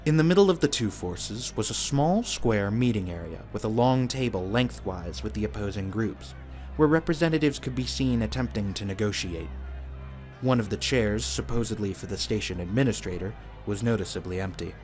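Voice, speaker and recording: A man serves as narrator of a scripted audio drama. His voice sits fairly low, and music plays faintly behind it. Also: lively intonation, normal speed, a little mellow.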